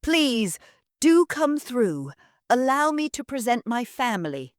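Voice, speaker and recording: A woman's voice: on the high side, sing-song, slow, no room sound, clean studio sound, voice pushed up. A woman voicing a domineering, snobbish middle-aged mother who shrieks and speaks in a haughty, affected way. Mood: simpering.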